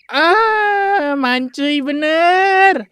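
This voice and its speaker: A woman's voice: very high-pitched, lively intonation, drawn-out words, a bit bright, slight background noise, full character voice. A woman voicing a nagging, mocking cartoon buddy who scolds in colloquial Jakarta Indonesian.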